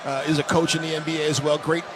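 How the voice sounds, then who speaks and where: Male American color analyst, slightly high voice, conversational, very noisy arena. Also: little pitch movement, fast. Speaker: radio basketball analyst. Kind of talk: live conversational sports analysis. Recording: very noisy live arena broadcast. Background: loud arena noise.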